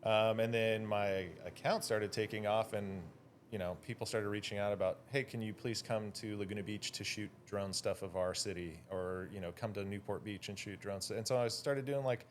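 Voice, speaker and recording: A man's voice: fairly low pitch, fairly even intonation, normal speed, slight background noise. A Californian man chatting casually as an interview guest, with a laid-back, slangy way of talking. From Hawaii and California, United States. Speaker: guest, drone photographer.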